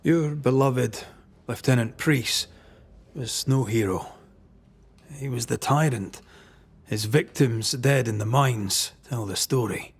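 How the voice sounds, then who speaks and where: An actor playing a solemn ghost hunter in a video game, deliberate and authoritative as he interrogates and passes judgement. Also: mid-range voice, slightly rough. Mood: stern verdict. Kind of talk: dramatic video game acting.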